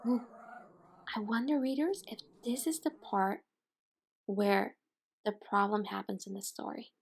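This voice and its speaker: A woman teacher doing an interactive storybook read-aloud, expressive and deliberate, pausing to put questions to young listeners. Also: mid-range voice, vocal fry, slightly rough. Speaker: teacher reading aloud to children.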